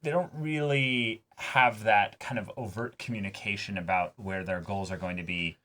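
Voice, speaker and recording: A man's voice: on the low side, fairly even intonation, moderate pace, bright, forceful tone. A man chatting casually as a reaction show host, often repeating words as he searches for his point. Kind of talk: casual chat reacting to anime.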